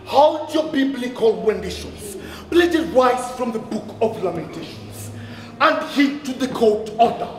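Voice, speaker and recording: A young male student actor playing an officious, preachy houseboy, commanding in an animated stage-comedy style. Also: very high-pitched, unhurried, crowd noise. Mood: officious, scolding. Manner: officious, mock-biblical, commanding. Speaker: student actor in a school play. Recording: echoey hall with long reverb.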